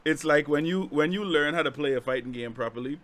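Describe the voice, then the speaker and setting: A man hosts a casual chat, speaking emphatically with plenty of slang and frequent laughter. His voice is fairly high and very bright and sharp. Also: fast. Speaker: host.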